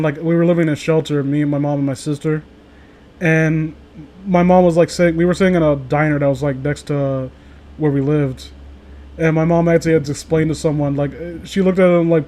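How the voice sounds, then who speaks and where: A man with a very high voice hosts a casual group chat on a stream. He rambles excitably and circles around his thoughts as he goes. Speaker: host of a live group stream.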